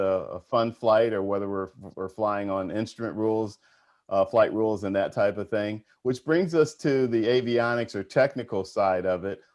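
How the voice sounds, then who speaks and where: A man's voice: fairly low pitch, a little mellow. A man hosting a panel program, conversationally introducing guests and putting questions to them. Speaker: host of an aviation panel program.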